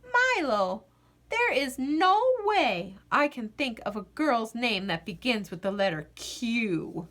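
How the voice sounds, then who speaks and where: A woman's voice: on the high side, sing-song, slow pace, fairly bright tone, full character voice. A woman voicing an eager, bossy, adventurous little girl from a storybook, proclaiming her words emphatically. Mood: emphatic.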